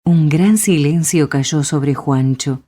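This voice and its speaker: A woman's voice: low-pitched, takes it slowly, mellow. A woman with an Argentine accent narrating a story for a radio play.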